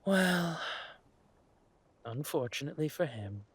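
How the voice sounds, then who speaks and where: A man playing a suave, ruthless villain lord in an audio drama, mock-polite and menacing, with a husky voice and drawn-out delivery. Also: a little high, lively intonation, exaggerated voice. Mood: coolly ruthless.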